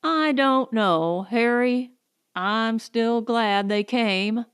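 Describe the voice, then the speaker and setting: A woman's voice: a little high, very slow, clean tone. A woman voicing a folksy male colonist who talks in an earnest, colloquial way, in an audiobook story.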